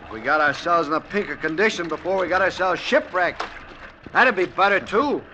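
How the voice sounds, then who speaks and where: A man's voice: high voice, a little fast. An actor playing a folksy, wry shipwrecked oarsman in an old-time radio drama. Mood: wry, weary. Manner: animated, homespun, wry. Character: folksy, wry shipwrecked man rowing a lifeboat. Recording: noisy old radio recording, water behind.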